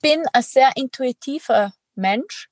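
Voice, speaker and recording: A woman's voice: high-pitched, slow pace, darkish tone, dry recording. A young woman with a Tyrolean accent, chatting casually as a podcast guest, upbeat and full of little fillers and laughs. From Tyrol, Austria. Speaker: guest, extreme athlete and entrepreneur. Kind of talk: casual interview chat.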